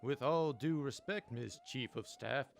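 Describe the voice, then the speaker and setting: A man's voice: medium pitch, lively intonation, measured pace, gravelly, a little hiss or hum. A man playing a stern military General in a radio play, speaking formally and with urgent command. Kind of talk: semi-comedic radio play acting.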